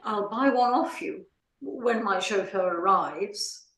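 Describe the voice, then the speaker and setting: A woman plays an imperious society lady in a stage comedy, exasperated and melodramatic. The delivery is slow and high, with dramatic swings, in a roomy echo. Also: lively intonation, fairly bright tone. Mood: weary, bitter. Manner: imperious, theatrical. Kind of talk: comic stage play reading. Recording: roomy, echoing sound.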